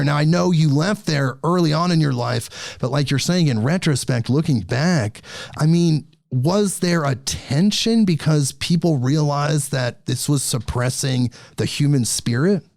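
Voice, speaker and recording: A man's voice: a little high, gravelly, a little mellow, no echo, studio quality. A man hosting a podcast, talking earnestly and with enthusiasm in a casual spiritual conversation. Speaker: podcast host.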